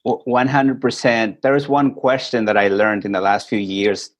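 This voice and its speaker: A man from Peru, a podcast guest calling in remotely, telling his stories in a reflective, unhurried way. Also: fairly low pitch, little pitch movement. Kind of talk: casual interview chat.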